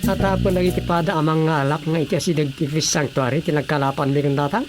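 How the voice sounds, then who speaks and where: A woman's voice: low-pitched, steady tone, fast, over music, close to an everyday voice. A woman playing the earnest grown child of a fishing family in an Ilocano radio drama. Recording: noisy radio drama track.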